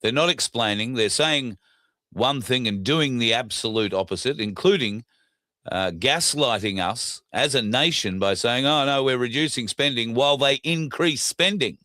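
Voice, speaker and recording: An Australian man with a scratchy, hoarse throat, hosting a casual talk show, plain-spoken and indignant, pausing often with fillers. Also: mid-range voice, sharp tone, no echo, clean studio sound. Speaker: show host.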